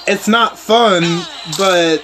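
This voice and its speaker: A man hosting a gaming channel from the eastern United States, chatting casually and rambling as he plays, a little hoarse and clearing his throat. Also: high-pitched. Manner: rambling, laid-back storytelling. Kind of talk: casual chat over gameplay. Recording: game music loud behind voice.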